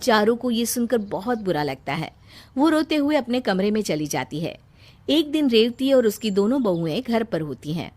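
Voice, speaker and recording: Woman, higher-pitched voice, narrator, scripted storytelling. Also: very animated, moderate pace, a little mellow.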